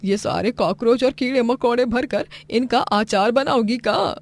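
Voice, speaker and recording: Woman playing slow, grumbling, scolding mother-in-law. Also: a little high. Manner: sarcastic, grumbling, exclamatory. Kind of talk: moral story cartoon voice acting.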